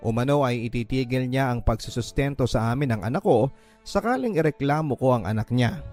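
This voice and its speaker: A man with a Filipino accent hosting radio, reading listener letters and giving advice in an expressive, emotive storytelling manner. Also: medium pitch. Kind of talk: dramatic letter narration and advice. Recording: voice over background music. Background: music.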